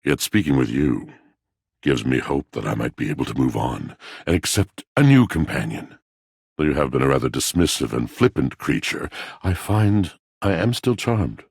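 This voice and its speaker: A man voicing a brooding, lovelorn, melodramatic video game character, speaking in a grandiose, poetic, mournful way with a gravelly voice. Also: very deep voice, very animated, moderate pace, a little mellow, no echo, studio quality, heavily acted. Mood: resigned, softening.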